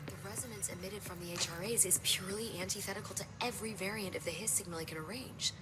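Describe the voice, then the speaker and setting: An actress playing a precise, preoccupied research scientist, speaking in a measured, analytical way in a video game. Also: female voice, medium pitch, natural voice. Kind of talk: video game cutscene dialogue, technical explanation. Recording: clear background ambience noise.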